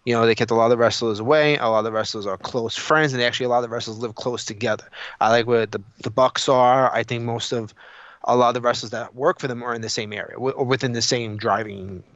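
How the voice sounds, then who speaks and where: A man's voice: medium pitch, steady tone, fast talker, fairly bright tone, no room sound, highs cut off. A man co-hosting a podcast, chatting casually about his opinions in a blunt, emphatic, profane way. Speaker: podcast co-host.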